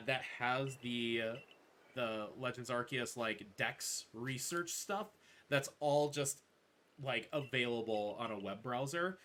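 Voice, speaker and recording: A man's voice: medium pitch, sharp tone. A man playing through a game speedrun while casually explaining his route live, with music behind him.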